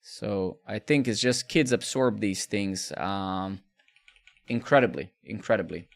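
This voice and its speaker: A man's voice: on the low side, measured pace, creaky. A man, chatting casually with viewers during a live coding stream, rambling and repeating words. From Serbia.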